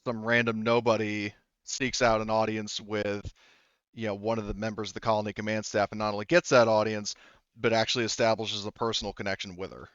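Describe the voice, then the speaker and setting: Low, smooth-voiced man running a tabletop game. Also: level tone, fairly bright tone, dry recording, lo-fi sound. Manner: halting, circles back and rephrases. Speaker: game master. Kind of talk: improvised tabletop game narration, casual.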